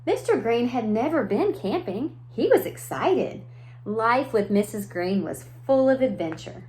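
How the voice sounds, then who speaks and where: A woman's voice: fairly high pitch, big pitch swings, measured pace, a little mellow. A woman preschool teacher reading a story aloud to young children, adding simple explanations and questions. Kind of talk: children's read-aloud with asides.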